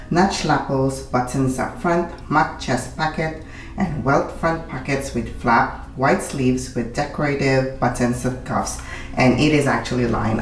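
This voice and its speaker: A woman with a very deep voice, raspy after being sick, talks through a fashion haul at home. She fills pauses with ums while she reads out prices and fabric details. Faint music plays under her voice. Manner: chatty, many ums, reads out product details. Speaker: fashion vlogger. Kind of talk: casual try-on haul chat.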